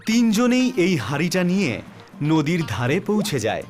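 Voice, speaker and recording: A man's voice: sits high, huge pitch swings, moderate pace, faint music behind. A man narrating a scripted children's story as the storyteller.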